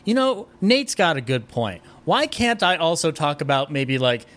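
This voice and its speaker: A man chatting casually as a podcast guest, animated and jokey, with a rambling way of talking. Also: on the high side, moderate pace. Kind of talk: casual chat.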